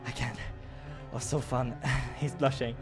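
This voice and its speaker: A man's voice: medium pitch, level tone, unhurried. A man hosting a visual novel playthrough, reading the story aloud with playful, theatrical reactions. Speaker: gaming host narrating a playthrough. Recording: music behind the voice.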